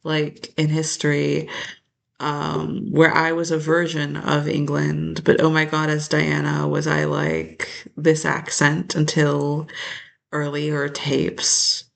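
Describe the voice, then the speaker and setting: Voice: mid-range voice, completely flat delivery, slow, creaky, a bit bright. A vlogger talking straight to the camera in a loose, rambling monologue that jumps from topic to topic and trails off.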